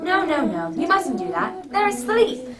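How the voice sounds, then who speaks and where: A woman playing a genial English gentleman doctor who acts as Santa Claus in an amateur radio drama. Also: high-pitched, very animated, a little slow. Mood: jolly, flustered. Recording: distant microphone, background noise.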